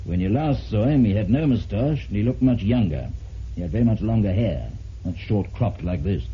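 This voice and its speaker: Low, brisk police inspector, played by a man. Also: animated, lightly acted. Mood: businesslike. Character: brisk, authoritative male British police inspector. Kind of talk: vintage radio drama acting. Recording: muffled, hissy vintage radio recording.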